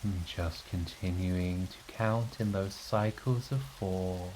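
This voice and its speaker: Slow, low-voiced man guiding meditation. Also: steady tone, background noise. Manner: gentle, reassuring, with long pauses. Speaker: guided meditation host. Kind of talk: guided meditation, soothing instruction.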